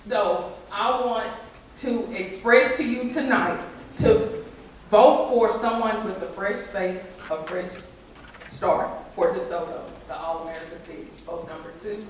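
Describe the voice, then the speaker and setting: A woman gives a lively, folksy campaign speech. Her voice is mid-pitched and is heard from a distance. A big echoing hall with faint noise surrounds her. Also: unhurried. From Texas. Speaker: mayoral candidate. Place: civic center event room. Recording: echoey hall, far mic, faint noise.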